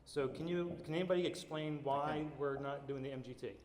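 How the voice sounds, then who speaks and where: A man's voice: a little high, steady tone, brisk, heavy background noise. Methodical man in a reverberant hall. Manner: methodical, detail-focused, concerned. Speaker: parent and teacher asking a question. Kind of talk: public question at town meeting. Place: large echoey public hall. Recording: echoey hall with long reverb.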